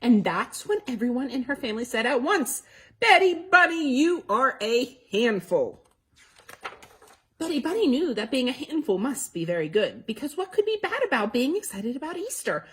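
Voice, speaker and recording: Female storytime reader for young kids: high, very animated, slightly raspy, expressive and interactive. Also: fairly quick, hardly any pauses. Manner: expressive, asking young listeners questions. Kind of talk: animated children's story read-aloud with asides.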